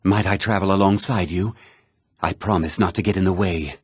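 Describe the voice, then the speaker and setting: A man's voice: low-pitched, fairly even intonation, moderate pace, breathy edge, no echo, studio quality. A man playing a devout, earnest pilgrim who worships nature, speaking florid, theatrical video game dialogue. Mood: reverent, earnest.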